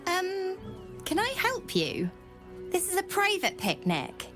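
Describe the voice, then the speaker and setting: A very high-voiced, prickly picnicker. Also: female voice, huge pitch swings, slow, over music, full character voice. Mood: annoyed, guarded. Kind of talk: fantasy video game acting.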